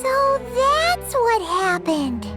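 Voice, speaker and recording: A tiny floating fairy with an extremely high, squeaky, childlike voice. She is a chirpy, blunt, inquisitive sidekick from a fantasy game, with music playing behind her. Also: sing-song, very slow, exaggerated voice. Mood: curious. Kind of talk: video game voice acting.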